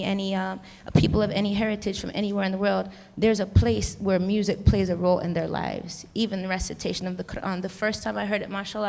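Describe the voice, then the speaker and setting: A woman's voice: mid-range voice, creaky, darkish tone. An American woman on a convention panel, talking earnestly and at length about music education, often restarting her sentences. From United States. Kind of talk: reflective panel discussion. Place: convention hall stage. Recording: long reverb of a large hall.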